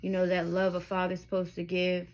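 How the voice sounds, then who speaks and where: Low-voiced woman sharing a candid testimony. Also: completely flat delivery, a little fast, a little mellow. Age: 30s. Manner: candid, emotional, rambling with asides to viewers. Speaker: vlogger. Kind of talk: personal testimony to camera.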